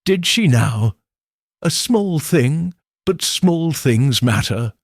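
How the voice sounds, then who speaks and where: A man voicing a shrewd elderly village spinster, speaking in a gentle, probing, knowing way. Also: a little high, animated, slow pace, vocal fry, no echo, studio quality, pitched above the performer's own voice, exaggerated voice. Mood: shrewd musing.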